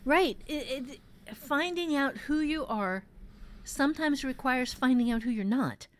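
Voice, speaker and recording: A woman hosting an informal talk as a writing teacher, emphatic and halting, often repeating words for stress. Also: high voice. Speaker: podcast host and fiction-writing teacher. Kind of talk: informal writing advice in conversation.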